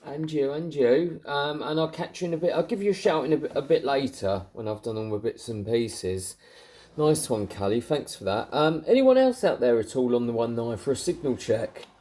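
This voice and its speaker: A man's voice: medium pitch, animated, normal speed. A man chats informally, thinking aloud as he shows and explains radio gear like a hobbyist presenting a video. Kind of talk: casual chat, showing and explaining radio gear. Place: indoors at home beside radio equipment. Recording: very noisy room, loud radio static. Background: loud CB radio noise.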